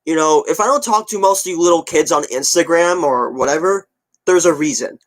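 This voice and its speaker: Rambling twenty-year-old man, slightly high voice. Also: brisk. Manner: rambling, repetitive, offhand. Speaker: video creator updating viewers. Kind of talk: casual chat to viewers, answering comments.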